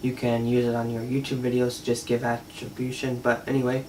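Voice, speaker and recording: A man's voice: mid-range voice, monotone, a little fast, mellow, slight background noise. Casual man reviewing gear, distant mic. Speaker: product reviewer. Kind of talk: casual unscripted product demo. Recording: distant mic, faint music at times.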